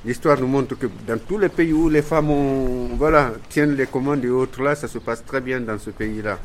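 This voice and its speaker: A man's voice: mid-range voice, normal speed. A man giving his opinion in a street interview, hesitant and searching for words. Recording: noisy location with ambient sound.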